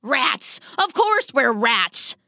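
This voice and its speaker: Lady doing a gray old storybook dad rat, stubborn n blustery, bright voice swooping all over. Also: high voice, sing-song, unhurried, no echo, studio quality, heavily acted. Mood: firm, blustering. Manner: blustering, theatrical, emphatic. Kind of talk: children's storybook character voice.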